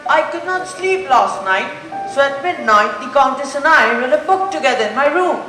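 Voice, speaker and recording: Young student playing a high-voiced haughty lady, very echoey. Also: animated, normal speed. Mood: formal. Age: teenager. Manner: imperious, formal, emphatic. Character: haughty, vengeful aristocratic lady train passenger. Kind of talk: school stage play acting. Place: indoor school stage. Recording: very echoey stage recording with music.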